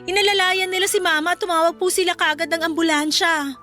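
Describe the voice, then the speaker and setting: A woman's voice: very high-pitched, fairly even intonation, fast talker, sharp tone, faint music behind. A woman acting a humble, worried young employee in a Filipino radio drama, speaking politely and hesitantly with a Filipino accent.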